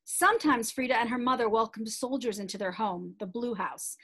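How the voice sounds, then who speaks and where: Higher-pitched woman reading aloud to children. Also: brisk, fairly bright tone, breathy edge. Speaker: children's book read-aloud presenter. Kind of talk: read-aloud of a children's biography with chatty asides.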